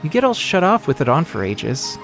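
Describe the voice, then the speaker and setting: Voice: mid-range voice, big pitch swings, fairly quick, gravelly, fairly bright tone, faint music behind. A performer voicing a cheerful, easygoing young creature, earnest and childlike, in a casual cartoon comic dub. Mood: concerned.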